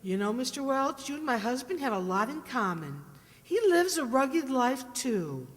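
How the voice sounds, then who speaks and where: A woman's voice: high-pitched, big pitch swings, normal speed. A woman playing a spirited, chatty housewife in an old-style radio sitcom. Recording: long hall reverb.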